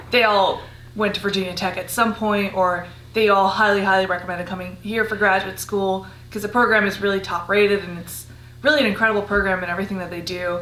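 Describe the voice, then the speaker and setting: A young woman from Costa Rica talks through her graduate work in an easygoing voice of middle pitch, often pausing on little fillers. The room rings with echo and a faint hum sits behind her. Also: bright, forceful tone. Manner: easygoing, many fillers and likes. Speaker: graduate student. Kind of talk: casual interview answers.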